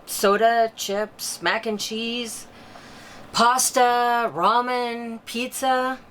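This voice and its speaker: A woman's voice: fairly high pitch, steady tone, drawn-out words, a bit bright, a little hiss or hum. A woman playing a concerned, curious mother who interviews an expert in a scripted drama, wide-eyed and sympathetic. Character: concerned, curious mother seeking diet advice. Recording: clear music bed behind the voice.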